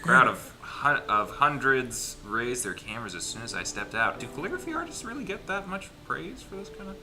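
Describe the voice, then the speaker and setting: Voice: mid-range voice, big pitch swings, fairly quick. A person reading a visual novel aloud as narrator in a casual group playthrough, playful and dramatic, with stumbles and wry asides. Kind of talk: casual gaming let's play, reading story text aloud with asides. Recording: music playing behind the voice.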